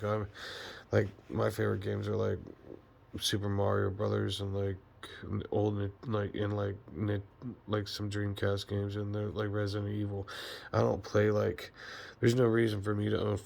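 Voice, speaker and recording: A middle-aged man giving a casual, unscripted channel update as host, in a rambling, halting way with frequent repetition. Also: on the low side, vocal fry. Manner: rambling, halting, repeats himself. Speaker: channel host and retro game store owner.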